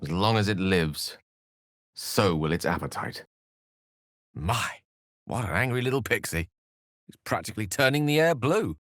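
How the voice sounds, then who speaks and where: Video game wizard, erudite, courteous, wry, theatrical precise diction, very animated intonation, dry close sound. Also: male voice, on the low side, moderate pace, pauses often, no echo. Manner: eloquent, precise, theatrical phrasing. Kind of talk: video game character acting.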